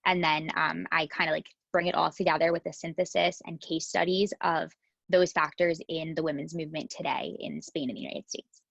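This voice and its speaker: A woman's voice: low-pitched, steady tone, brisk, gravelly, husky, no room sound. A young woman, a university student, casually describing her thesis with frequent fillers, in a dull, lo-fi recording. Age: young adult. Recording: dull, lo-fi and dry.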